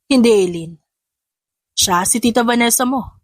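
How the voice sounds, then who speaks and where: A woman's voice: on the high side, moderate pace, no echo, studio quality, lightly acted. A woman voicing a serious, stern middle-aged father who speaks firmly in an audio story. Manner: firm, commanding.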